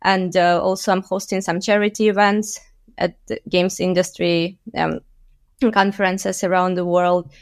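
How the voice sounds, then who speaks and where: A woman from Ukraine, giving steady, measured answers as an interview guest. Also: lowish voice, no echo, studio quality. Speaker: guest, game company co-founder. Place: home in London.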